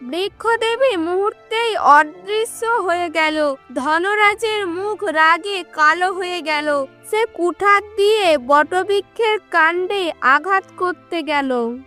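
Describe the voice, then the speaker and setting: A woman narrating a storybook cartoon in a measured, dramatic way. Her voice is very high and bright, and she reads slowly. Faint music runs underneath.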